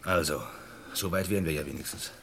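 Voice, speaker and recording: A man playing an insistent, agitated, well-mannered gentleman in a radio crime play, talkative and fussy. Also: rumbling low, fairly quick, creaky, slight background noise, heavily acted. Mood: agitated, accusing. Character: agitated, accusing well-mannered male visitor to London.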